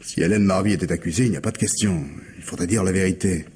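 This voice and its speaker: An actor playing a weary, evasive man of forty, an anxious and loving husband who speaks haltingly and pleadingly in an old French radio mystery. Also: low-pitched, darkish tone, a little hiss or hum, natural voice. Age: 40s.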